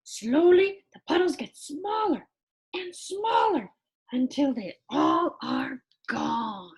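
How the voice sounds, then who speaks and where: A woman's voice: sits high, sing-song, slow, exaggerated voice. A woman voicing an eager childlike puppet friend who reads a picture book to children in an exaggerated, wondering manner. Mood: gentle, wondering. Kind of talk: animated children's storybook read-aloud.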